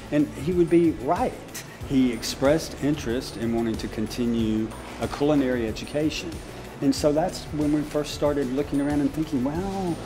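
Older man interviewed over prominent music. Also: a little high. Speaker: special education teacher and cafe program leader. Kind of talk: sit-down interview for a promotional video. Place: indoor room of a cafe.

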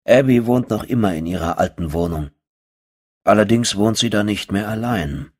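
A man narrating a first-person horror audiobook in a brooding, terse, dry manner with a husky voice. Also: on the low side, expressive, moderate pace.

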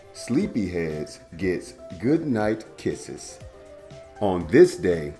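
Male storytime host, 30s, deep voice, playful kids' read-aloud in a home room. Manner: animated, playful, stretches words for young listeners. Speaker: storytime host reading a picture book.

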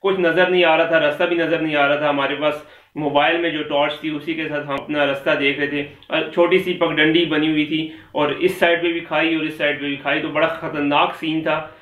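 A man's voice: fairly high pitch, moderate pace. A man in his thirties with a Pakistani accent, telling a story casually to the camera in a small room at home, gesturing as he talks. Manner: chatty, animated with hand gestures. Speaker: vlogger. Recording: echoey room, dull lo-fi sound.

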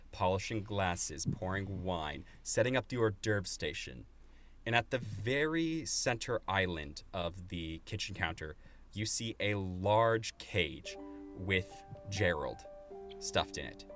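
A man's voice: sits low, animated, measured pace, fairly bright tone. A man narrating a tabletop game as game master. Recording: music playing behind.

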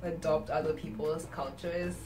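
A woman's voice: sits low, steady tone, normal speed, darkish tone. A woman in her twenties with a Zimbabwean accent, chatting casually as an interview guest. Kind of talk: casual chat. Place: small indoor room. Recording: roomy room sound, clear music behind.

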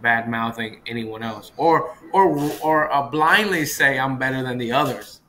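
A man's voice: mid-range voice, unhurried, sharp tone. A man in his thirties from Vietnam, a chef talking casually as an interview guest, often checking in with the listener. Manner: repetitive, often ends points with "right?". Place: small room indoors, on a video call. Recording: video call with clear background noise.

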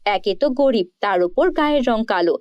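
A woman's voice: a little high, lively intonation, normal speed, smooth, no echo, muffled recording. A woman storyteller reading scripted narration for a children's story.

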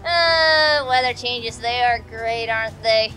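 A high-voiced woman rambles through casual gameplay commentary, giggling and talking to herself. Her tone is bright and a little stuffy, as if congested. Faint game music plays underneath. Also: slow pace, low-quality recording. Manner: rambling, self-chatting, giggly. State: congested from allergies. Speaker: let's play host.

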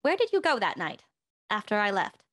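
A woman's voice: mid-range voice, huge pitch swings, brisk, fairly bright tone, no echo, clean studio sound. A young woman playing a spoiled, dramatic socialite in an audio drama. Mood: coy, uneasy. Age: young adult. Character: spoiled, indignant young actress playing a secretive socialite house guest.

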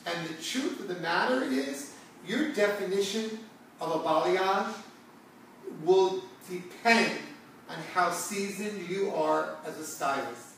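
A man's voice: high voice, expressive, slow pace, a bit bright. A middle-aged man hosting a conversational, educational salon talk, addressing viewers emphatically and repeating phrases to make his point. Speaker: salon talk show host, hair stylist. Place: indoor hair salon seating area. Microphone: far camera. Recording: distant mic in echoey room, background hiss.

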